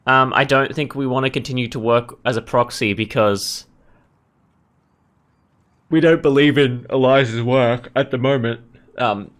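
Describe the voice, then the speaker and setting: A man's voice: medium pitch, fairly bright tone. A man hosting a gaming video, giving casual, rambling commentary as he thinks aloud. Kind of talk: casual let's play commentary.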